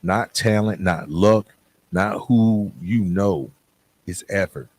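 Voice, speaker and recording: A man's voice: sits low, takes it slowly, darkish tone. A middle-aged man from Atlanta, hosting a motivational podcast and speaking earnestly and conversationally straight to the listener. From Atlanta, United States. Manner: earnest, conversational, with rhetorical questions and check-ins. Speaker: podcast host. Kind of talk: motivational talk addressed to the listener.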